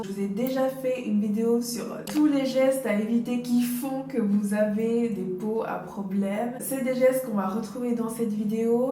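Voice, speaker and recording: A woman in her late twenties talks like a beauty vlogger, chatty and personal, speaking straight to her viewers. Her voice is soft-edged and mellow. The recording is roomy and picked up at some distance from the mic, with faint noise behind her. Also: a little high. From Dominican Republic. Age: late 20s. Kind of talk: casual advice to camera. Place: indoors, hotel.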